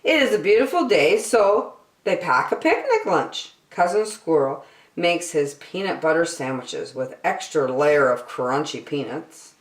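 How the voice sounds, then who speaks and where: A woman's voice: low voice, big pitch swings, unhurried. A woman reading a children's picture book aloud, expressively and with emphatic phrasing for small listeners. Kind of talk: expressive read-aloud storytelling for young children. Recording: roomy, echoey room sound.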